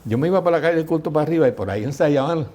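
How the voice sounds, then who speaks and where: Husky older Puerto Rican guest, lively storyteller. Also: male voice, fairly high pitch, racing. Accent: Puerto Rican Spanish. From Puerto Rico. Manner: lively anecdotal storyteller, mimics voices in quotes. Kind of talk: storytelling interview.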